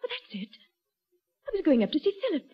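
High-pitched frightened young woman. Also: big pitch swings, quick pace. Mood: distraught, insistent. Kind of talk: 1940s radio acting. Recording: dull lo-fi 1940s radio recording.

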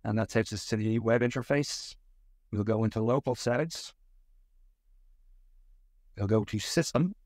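A man with a fairly low voice leads a technical tutorial. He explains each step of a software setup in an instructional way. The sound is dry, with very little room in it. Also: measured pace, pauses often, no room sound. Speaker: tech support manager giving a tutorial.

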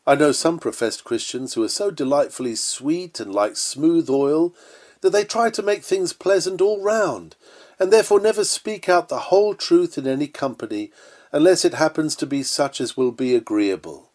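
A man's voice: on the high side, moderate pace, a little mellow. A man reading an old-fashioned sermon aloud in an earnest, oratorical manner. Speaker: sermon reader.

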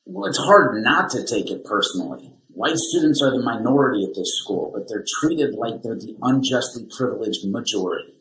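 A man playing an aggrieved father in his thirties in a school drama. He sounds defensive, at a middle pitch, recorded in an echoey room. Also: animated, normal speed, hardly any pauses, a bit bright, lightly acted. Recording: echoey room on video call.

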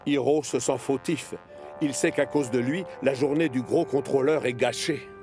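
A man's voice: mid-range voice, moderate pace. A man narrating a children's cartoon story in a scripted storytelling style. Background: music.

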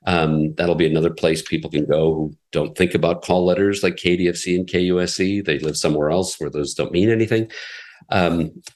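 A middle-aged man, a radio executive, answering interview questions in a relaxed, explanatory way, often repeating words as he thinks. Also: low-pitched, fairly quick. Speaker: radio station president, guest.

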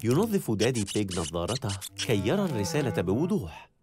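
A man narrating a dubbed children's cartoon in a bright storytelling manner. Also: fairly low pitch, big pitch swings, a little fast, over music.

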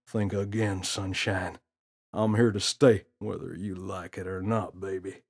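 A man's voice: low voice, level tone, measured pace, pitched below the performer's own voice. A man voicing a mischievous, cocky gorilla in a children's storybook, with a taunting, slangy wise-guy manner. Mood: smug, menacing.

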